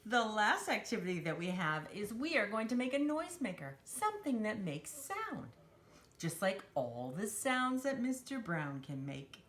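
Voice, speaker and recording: A woman's voice: mid-range voice, big pitch swings, normal speed, fairly bright tone. A woman hosting a children's storytime, talking simply and encouragingly to young children as she demonstrates a craft. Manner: refers to herself in third person.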